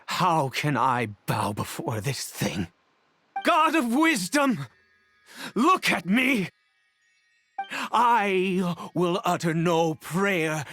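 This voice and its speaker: Game role: proud grieving exile father, formal archaic speech, theatrical, unhurried, highly animated intonation. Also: full character voice. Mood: bitter, defiant. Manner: theatrical, formal archaic diction, halting solemn delivery. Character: desperate grieving father, proud, bitter, devoted exile and alchemist. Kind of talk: dramatic video game voice acting.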